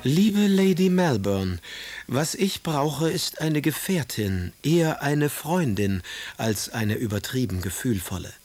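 An actor playing a witty, melancholy young poet in a radio drama, reading letters and verse in an ironic, theatrical manner. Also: male voice, mid-range voice, big pitch swings, slow pace, darkish tone, dry recording, faint music behind. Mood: ironic, arch.

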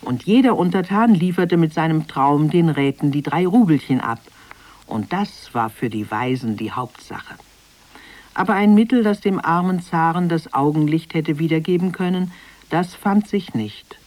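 Female storyteller for children's audio drama: lowish, expressive, dry studio sound. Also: normal speed, no room sound. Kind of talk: scripted children's radio play narration.

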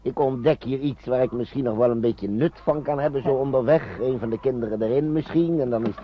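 A man's voice: mid-range voice, fairly quick, lightly acted. An actor playing a frugal, resourceful uncle in a radio play, hesitant and muttering as he reasons and bargains. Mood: pleased, persuasive. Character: resourceful, frugal uncle, a lawyer travelling with children. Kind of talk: naturalistic radio play acting. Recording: dull, noisy old radio play recording.

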